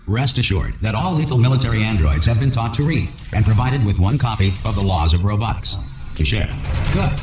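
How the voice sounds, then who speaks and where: An actor playing a pompous, blithely reassuring corporate announcer delivering formal pre-recorded safety messages in a science-fiction video game. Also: male voice, on the low side, reverberant, background noise, natural voice. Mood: officially calm. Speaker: test facility announcer. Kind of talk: scripted public-address announcement.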